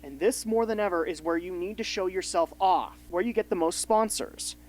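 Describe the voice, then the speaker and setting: A woman's voice: lowish voice, moderate pace, a little mellow, a little hiss or hum, natural voice. A woman playing a blunt, sarcastic, exasperated mentor in a radio drama, scolding as she talks. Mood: critical, exasperated.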